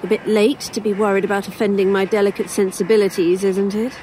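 A weary woman, voiced by an actress, talks in an audio drama with dry, defiant sarcasm. Her delivery is hesitant at times and her voice is mid-pitched. Faint outdoor ambience and cawing crows sit behind her. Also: flat delivery, fast talker, mellow, a little hiss or hum, lightly acted, rough recording. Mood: dry, sarcastic. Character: weary, sardonic human woman, dryly defiant. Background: outdoor ambience, crows, vehicles.

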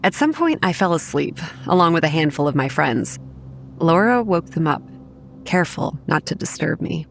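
A young woman acting as narrator of a horror audio drama, recounting grim childhood memories in a measured, matter-of-fact way. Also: deep voice, very animated, creaky, a little hiss or hum, exaggerated voice. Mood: grave, measured. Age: young adult. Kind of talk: scripted first-person horror narration. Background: soft music.

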